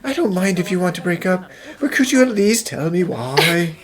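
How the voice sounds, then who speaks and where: A woman's voice: fairly low pitch, expressive, normal speed, lightly acted. A woman voicing an earnest, stubborn, lovelorn young man who pleads, in a cartoon fan dub. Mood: pleading. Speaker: voice actor in amateur cartoon dub.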